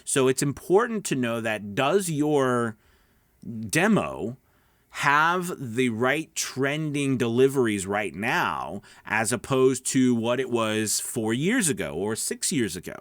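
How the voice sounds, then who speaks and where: A man's voice: mid-range voice, sing-song, takes it slowly, fairly bright tone, dry recording, clean studio sound. A middle-aged man giving casual instructional talk as a voice-over coach, emphatic and conversational, often checking in with listeners. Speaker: voice-over coach and online host.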